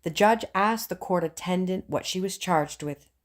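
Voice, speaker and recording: A woman's voice: lowish voice, moderate pace. A woman reading a book aloud as scripted audiobook narration. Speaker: audiobook reader.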